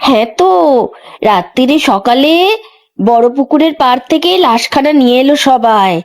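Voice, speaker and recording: Woman acting a high-voiced gossipy village neighbour. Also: moderate pace, close to an everyday voice. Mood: grave. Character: gossipy village neighbour bearing grim news. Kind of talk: dramatised village horror story dialogue.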